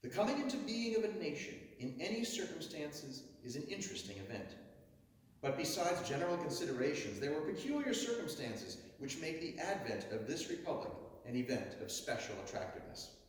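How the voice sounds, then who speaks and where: A man giving a declamatory reading of a historic oration, with booming, dramatic swells and drawn-out stresses. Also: sing-song. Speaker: orator reading a historic speech. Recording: echoey hall, heard from far off.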